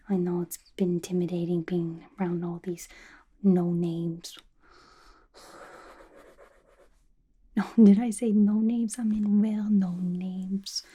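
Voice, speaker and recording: A woman voicing a playful, gossipy villain locked in an asylum, in a breathy, chatty, confiding ASMR roleplay. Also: medium pitch, mellow, close to an everyday voice. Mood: defensive, gossipy. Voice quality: breathy, whispery. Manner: chatty, confiding, casual slangy talk.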